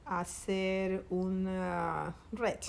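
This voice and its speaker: Colombian woman with a gravelly voice. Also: mid-range voice, little pitch movement. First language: Spanish. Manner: halting, searching for words, restarts. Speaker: interviewee, grieving mother. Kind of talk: conversational interview.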